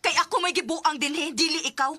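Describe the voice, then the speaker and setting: A woman's voice: high-pitched, a little fast, noisy background, natural voice. A young woman with a Cebuano accent playing a hurt, bitter younger sister in an emotional Bisaya radio drama. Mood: angry, accusing. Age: young adult. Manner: emotional, melodramatic.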